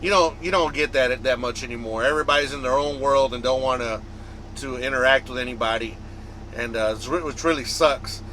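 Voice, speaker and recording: A man's voice: medium pitch, brisk, sharp tone, a little hiss or hum, poor audio. A man from the United States, a trucker vlogger, talking casually to his viewers in a rambling, conversational way that circles back and repeats. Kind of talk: casual vlog talk to viewers.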